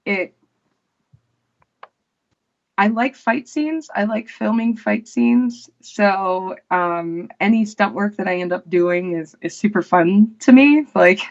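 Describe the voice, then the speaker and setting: A woman, an actress, chatting casually as an interview guest, unhurried and full of fillers and restarts. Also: mid-range voice, vocal fry, muffled recording.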